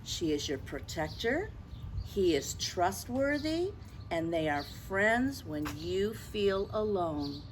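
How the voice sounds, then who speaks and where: An older woman tells a story to young children, taking her time over each phrase. Her pitch moves in lively, expressive swings, and she often turns to her listeners with gentle asides and questions. Noticeable ambient noise sits behind her voice. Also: takes it slowly. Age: 60s or older. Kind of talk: picture book read-aloud to children with gentle asides.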